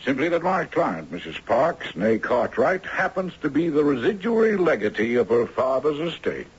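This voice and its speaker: A very husky old lawyer in a vintage radio play. He is shrewd and dogged, with formal, theatrical phrasing and a lively rise and fall. The recording is dull. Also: mid-range voice, moderate pace. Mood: triumphant. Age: older. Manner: theatrical, formal courtroom cross-examination. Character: shrewd, dogged elderly retired judge turned lawyer. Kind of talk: 1970s radio drama acting.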